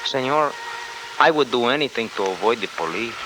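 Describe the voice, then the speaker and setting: An actor playing a sly, ingratiating Mexican fugitive with a Mexican accent in 1940s radio style, speaking wheedlingly. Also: male voice, mid-range voice, animated, a little fast, close to an everyday voice. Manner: speaks of himself in third person, wheedling. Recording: noisy 1949 radio transcription.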